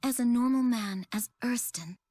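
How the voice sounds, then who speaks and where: A woman's voice: fairly high pitch, moderate pace, music in the background, lightly acted. A woman voicing an earnest, thoughtful young woman advisor in a video game cutscene.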